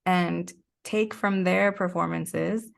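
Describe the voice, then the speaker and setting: Low, creaky-voiced woman in reflective conversation. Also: steady tone, slow pace. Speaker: interview guest, performing artist. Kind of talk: casual, reflective interview chat.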